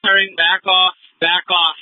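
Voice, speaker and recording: A man's voice: sits high, flat delivery, takes it slowly. A man speaking as a police officer in a pursuit, giving terse, urgent calls over the radio. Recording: muffled, noisy police scanner radio with clicks.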